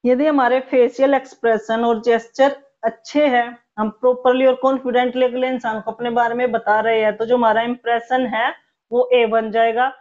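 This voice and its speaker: A woman in her thirties teaching spoken English, explaining in Hindi with English words mixed in and slowly dictating each example sentence. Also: on the high side, little pitch movement, a little mellow, highs cut off. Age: 30s.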